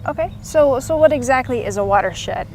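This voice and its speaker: A woman's voice: sits high, animated, a little fast, darkish tone, a little hiss or hum. A woman naturalist hosts a nature show, interviewing a guest outdoors by a lake with friendly prompting questions.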